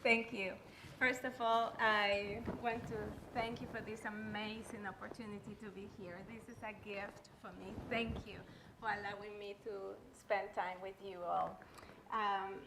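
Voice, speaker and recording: A woman's voice: medium pitch, fairly even intonation, unhurried. A woman with a Peruvian Spanish accent giving a personal talk as a guest speaker, hesitant, nervous and self-deprecating. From Peru. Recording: echoey room, clear background noise.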